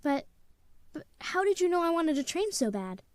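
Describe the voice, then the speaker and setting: A woman playing an innocent, excitable little boy in an old-time radio drama, with a childlike, earnest delivery. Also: very high-pitched, dry recording, studio quality. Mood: excited. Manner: childlike, earnest, halting when confessing.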